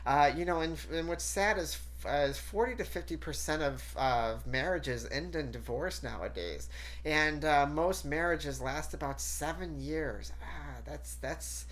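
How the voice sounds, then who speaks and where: A woman's voice: sits low, expressive, measured pace. A woman hosting a talk show, chatty and full of fillers, sounding stuffy and nasal from a cold. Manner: chatty, laughs often, many fillers. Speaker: host. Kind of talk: casual talk show chat.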